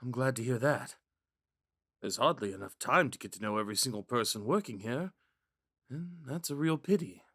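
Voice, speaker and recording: A man playing a kind, shy young prince in a romantic ASMR roleplay, speaking gently and hesitantly. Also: medium pitch, animated, normal speed, breathy edge, no echo, clean studio sound. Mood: gentle, curious. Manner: soft-spoken, hesitant, stammering when flustered. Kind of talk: ASMR roleplay, scripted monologue to a listener.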